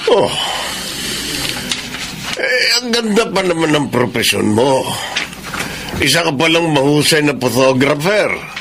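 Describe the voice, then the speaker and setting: A man's voice: on the high side, very animated, slow pace, pauses often, background noise, full character voice. A man playing a chiding, moralizing prison warden in a Filipino radio drama.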